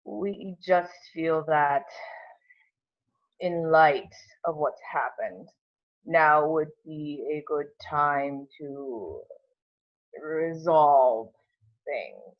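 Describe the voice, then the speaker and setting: Someone doing a cold old dad, drags the words out slow, muffled amateur script read. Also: medium pitch. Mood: measured. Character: slow-spoken, cold, controlling old father after a stroke. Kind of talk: amateur at-home table read of a film script.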